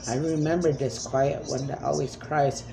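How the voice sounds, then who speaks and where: A woman's voice: rumbling low, fairly even intonation, normal speed, gravelly, a crowd in the background. A woman speaking as a self-described spirit medium in a rambling, emphatic monologue, pleading and repeating commands.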